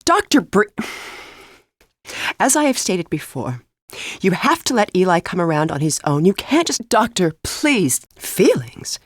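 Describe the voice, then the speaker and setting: A woman's voice: on the low side, very animated, measured pace, no echo, clean studio sound. An actress playing an earnest, composed middle-aged woman physicist in a video game, speaking precisely and a little formally. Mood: defensive. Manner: precise, articulate, slightly formal. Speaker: scientist character in a video game. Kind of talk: scripted video game voice acting.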